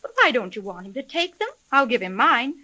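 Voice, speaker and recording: An actress playing a smart, spirited woman investigator in a 1930s radio drama, crisp and no-nonsense. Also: fairly high pitch, sing-song, brisk, bright, forceful tone, exaggerated voice. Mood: assertive. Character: smart, spirited, level-headed female private investigator.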